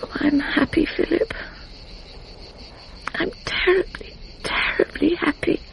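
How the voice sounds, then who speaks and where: A woman's voice: very high voice, fairly even intonation, slow pace, creaky, exaggerated voice, low-quality recording. An actress playing an emotional, confessional British woman in love, with a breathless, intense manner in a radio drama. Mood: dreamy, happy. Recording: faint noise, insects chirping, archive radio drama.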